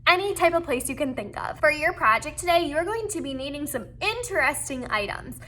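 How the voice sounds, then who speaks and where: A woman's voice: high voice, animated, a little fast, a bit bright, slight background noise. A woman teaching an art project to children in a casual, encouraging, instructional way. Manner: encouraging, casual, addresses listeners as guys. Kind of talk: friendly instructional how-to for kids.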